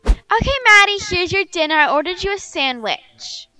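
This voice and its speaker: A woman's voice: extremely high pitch, expressive, moderate pace, bright, forceful tone, faint music behind, exaggerated voice. A woman playing a spiteful, fake-sweet young stepmother in an improvised video game roleplay, with a gushing, girlish way of talking. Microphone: headset.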